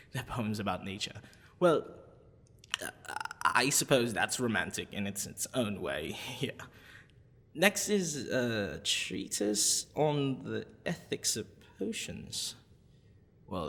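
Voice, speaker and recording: Man acting a slow, husky, flirty dragon-blooded librarian. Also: mid-range voice, a bit bright, big-room sound, full character voice.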